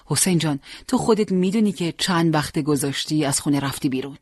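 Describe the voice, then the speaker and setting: Narrator putting on an anxious storybook mother, raised pitch, dry close sound, reproachful, tender pleading. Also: a little mellow, no room sound, natural voice. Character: worried, pleading middle-aged mother. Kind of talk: acted dialogue in an audiobook.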